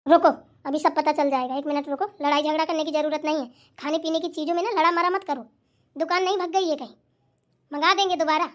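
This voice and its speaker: A woman acts out a bossy Indian-family-comedy mother-in-law with an extremely high, childlike pitch. She chides and lectures as the one who keeps the household in order. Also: fairly even intonation, fast talker, lightly acted. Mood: exasperated. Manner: chiding, lecturing. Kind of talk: family comedy skit acting.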